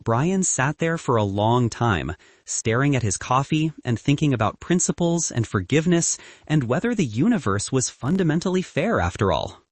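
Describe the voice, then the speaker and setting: Husky male storyteller, dry close sound. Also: medium pitch, very animated, moderate pace, no echo. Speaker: audiobook narrator. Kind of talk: scripted narration of a romance story.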